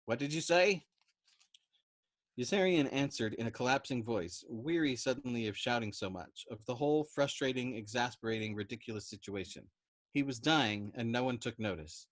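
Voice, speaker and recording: A man reads fiction aloud as a storyteller, with expressive narration. His voice sits at a medium pitch for a man, and the recording is dry and close. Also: brisk, dry recording. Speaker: storytime reader. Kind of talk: expressive audiobook narration of a novel.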